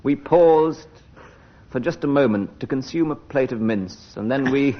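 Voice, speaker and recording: A man performing as a radio comedy panellist, delivering verse and banter with a deadpan, joking manner. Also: mid-range voice, a little slow. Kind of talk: comic verse and banter before an audience. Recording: muffled, very noisy vintage radio recording.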